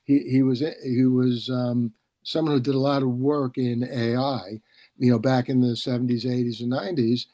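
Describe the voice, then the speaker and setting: A man hosting a casual video-call discussion, explaining things in a halting, discursive way and often repeating words. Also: mid-range voice, flat delivery, mellow, no room sound. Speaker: host, publisher and professor.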